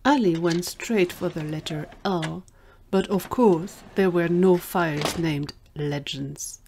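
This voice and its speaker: A woman's voice: lowish voice, slow pace, creaky, background noise. A woman narrating a scripted audio drama as its storyteller.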